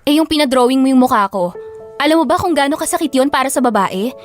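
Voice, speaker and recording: A woman with a Filipino accent playing a guarded, sharp-tongued young office worker in an audio drama. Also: high voice, expressive, fast talker, faint music behind. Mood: hurt, defensive. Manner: scolding, blunt, guarded. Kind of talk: Tagalog audio drama acting.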